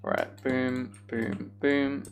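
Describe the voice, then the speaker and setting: A man's voice: mid-range voice, level tone, drawn-out words. A man hosting a live gaming stream, chatting casually with viewers and muttering each step of his task to himself. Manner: muttering step-by-step self-narration of tasks. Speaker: livestream host. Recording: clear background noise, keyboard clicks. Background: computer keyboard typing and clicking.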